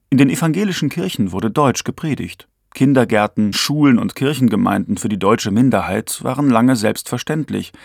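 Gravelly man narrating a documentary. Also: medium pitch, no echo. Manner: factual, even delivery. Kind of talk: scripted narration.

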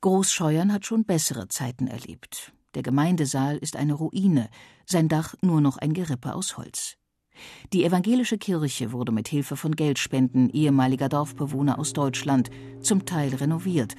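Mellow documentary narrator over soft music. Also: mid-range voice, moderate pace, husky, no echo, studio quality.

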